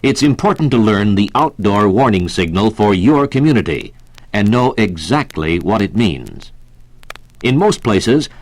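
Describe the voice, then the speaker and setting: Deep-voiced American male announcer, 1960s radio. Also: dry recording. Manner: measured, instructional, formal. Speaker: public information announcer. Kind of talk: scripted 1960s civil defense radio narration.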